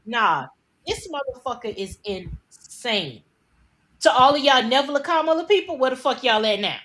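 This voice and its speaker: A woman's voice: sits high, expressive, moderate pace, a bit bright. A woman from the United States co-hosting a news podcast, ranting bluntly and with plenty of profanity. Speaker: podcast co-host. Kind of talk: heated casual news commentary.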